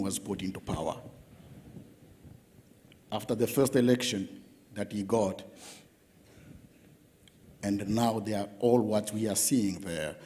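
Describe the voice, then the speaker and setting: A man from Zambia stands up to ask a question from the floor. His voice sits low, and he lays out his points slowly, step by step. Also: a little mellow. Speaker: audience member asking a question.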